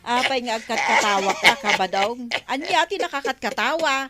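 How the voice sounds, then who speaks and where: A woman's voice: on the high side, expressive, moderate pace, lightly acted. An actress playing a sassy, mocking village woman in a Filipino comedy drama, with an Ilocano accent. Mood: scornful, puzzled. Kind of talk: broadcast comedy drama acting.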